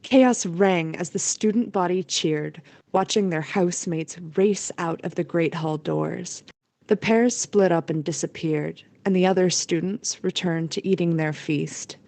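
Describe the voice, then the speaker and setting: A woman's voice: on the low side, unhurried, creaky, darkish tone, dry recording. A woman reading scripted storytelling narration for an audio play.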